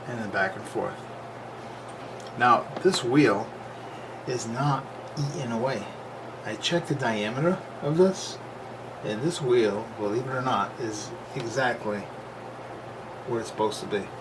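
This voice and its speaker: Guy doing a casual repair video, plain talk, thinking out loud, medium pitch, really noisy recording. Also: a little slow. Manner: plain-spoken, unscripted, thinking aloud. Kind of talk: casual hands-on repair demonstration, talking while working. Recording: noisy camera recording with loud background noise.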